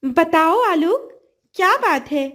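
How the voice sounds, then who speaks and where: A kind, grateful female fish with magic powers speaks from a children's fairy tale , acted out by a woman. The voice is very high and lively, with a gentle, earnest manner that offers help generously. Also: slow, full character voice. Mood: helpful.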